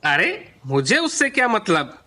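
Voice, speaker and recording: Narrator putting on a greedy, bullying shopkeeper man, animated. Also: mid-range voice, a little slow, pitched below the performer's own voice, heavily acted. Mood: threatening. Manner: gruff, demanding, scolding. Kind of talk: children's cartoon story dialogue.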